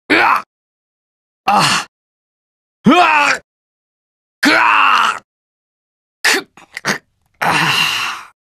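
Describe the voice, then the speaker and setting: A gruff young masked hero from a video game. He is curt and reluctant yet protective, with slow, deliberate delivery and widely swinging pitch, in a dry, close sound. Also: a bit bright, dry recording. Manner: curt, brusque, rough casual speech. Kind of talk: video game voice lines, battle quips.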